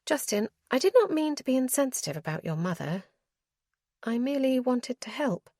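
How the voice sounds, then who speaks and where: Woman reading eager young lady, raised pitch, insistent, proper, dry. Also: sing-song, moderate pace, a little mellow, no echo, heavily acted. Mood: earnest. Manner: earnest and insistent. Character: earnest, eager young noble wife.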